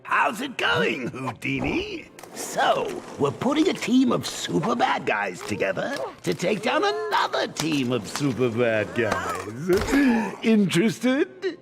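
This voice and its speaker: Manic clownish male supervillain, theatrical, gravelly, high swooping pitch, video game. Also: wildly swooping pitch, slow pace, music in the background, full character voice. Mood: cheeky, persuasive. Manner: theatrical, swooping between shouts and drawls. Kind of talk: animated video game voice acting.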